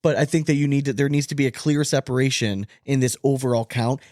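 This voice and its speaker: A man's voice: mid-range voice, steady tone, quick pace, a little mellow, dry recording. Emphatic man talking sports, close dry sound. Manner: emphatic, opinionated, swears casually. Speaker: podcast co-host. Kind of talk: casual sports podcast chat.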